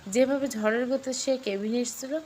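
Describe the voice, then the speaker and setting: A woman's voice: on the high side, normal speed, darkish tone, a little hiss or hum. A woman reading a scripted story with dramatic delivery. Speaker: story narrator.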